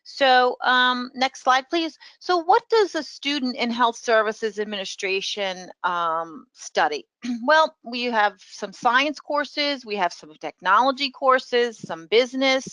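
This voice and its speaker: A woman's voice: fairly high pitch, slow pace, pushed and bright, no room sound, rough recording. A middle-aged woman with a New York accent, a professor explaining a degree program in a webinar, speaking with frequent ums. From New York City. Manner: explanatory, filler-heavy. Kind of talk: webinar presentation.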